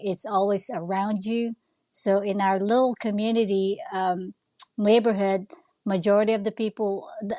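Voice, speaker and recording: A woman's voice: medium pitch, a little mellow, no echo. A woman in her fifties with a Filipino accent, talking casually as an interview guest and often searching for words. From Philippines. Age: 50s. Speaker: podcast guest, nonprofit founder.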